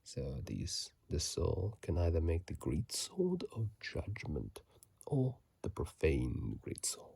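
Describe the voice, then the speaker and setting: A man giving casual, halting ASMR commentary in a hushed, breathy whisper, sleepy and close to a phone microphone. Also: a bass voice, wildly swooping pitch, dry recording. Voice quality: breathy, gravelly soft whisper. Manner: slow, halting, hushed delivery. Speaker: video host.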